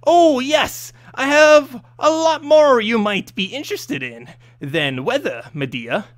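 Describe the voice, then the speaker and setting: A man's voice: very high-pitched, expressive, slow pace, pitched above the performer's own voice, exaggerated voice. A man voicing a small, nervous, greedy demon from a fantasy story, shifty and self-important. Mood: eager, sly. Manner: shifty, wheedling, boastful.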